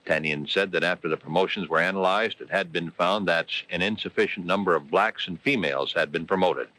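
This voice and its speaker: A man's voice: deep voice, fairly quick, sharp tone, low-quality recording. An American man anchoring a local 1970s radio newscast from a script.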